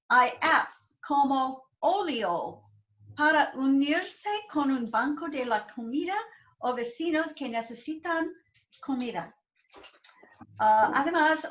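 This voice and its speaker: A woman language student reading a text aloud slowly and carefully on a video call. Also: high voice, a bit bright, lo-fi sound.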